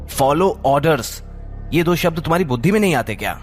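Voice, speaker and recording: A man voicing a stern, angry military team instructor in an audio drama, scolding with forceful commands. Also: on the high side, animated, moderate pace, voice pushed up, natural voice. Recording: music behind the voice.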